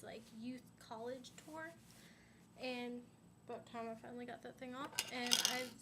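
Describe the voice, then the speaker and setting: A woman's voice: a little high, a little hiss or hum. A young woman chatting to her camera in a rambling way, full of "um"s and "like"s, a little stuffy and sniffly from a sinus infection. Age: young adult. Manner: rambling, many "um"s and "like"s. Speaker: vlogger. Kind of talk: casual chat to camera.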